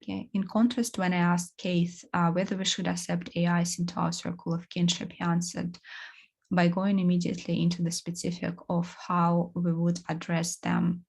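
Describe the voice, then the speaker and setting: A woman giving a seminar talk, halting, with many fillers and restarts. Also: on the low side, completely flat delivery, creaky, darkish tone, breathy edge. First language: Russian. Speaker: course presenter.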